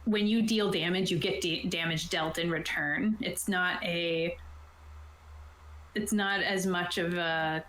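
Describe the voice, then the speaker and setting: A woman's voice: lowish voice, steady tone, takes it slowly, fairly bright tone. A woman game master casually explaining tabletop game rules in an informal, conversational way. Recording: roomy, echoey voice sound.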